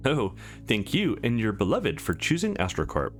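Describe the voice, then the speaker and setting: An upbeat, courteous woman receptionist from a sci-fi visual novel, read by a man. She delivers formal sales patter with very lively inflection. Soft music plays underneath. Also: fairly low pitch, moderate pace. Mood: cheerful, courteous. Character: courteous, upbeat female company receptionist in space. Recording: music behind the voice.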